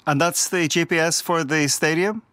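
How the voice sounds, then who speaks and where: A man's voice: fairly high pitch, steady tone, normal speed, sharp tone. A man interviewing a guest for radio, asking short, polite questions.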